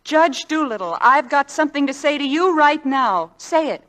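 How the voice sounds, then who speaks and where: A young wife in a vintage radio play. Her voice sits high, earnest and encouraging, as she coaxes with devotion. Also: animated, normal speed, fairly bright tone. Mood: urging, coaxing. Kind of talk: 1940s radio drama acting. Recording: vintage 1940s radio broadcast.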